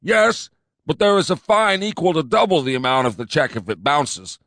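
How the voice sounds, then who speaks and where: A man's voice: a little high, sing-song, moderate pace, fairly bright tone, dry recording, studio quality, pitched above the performer's own voice. A man voicing an immensely fat, officious police commander who talks in a pompous, overbearing way, in an audiobook reading.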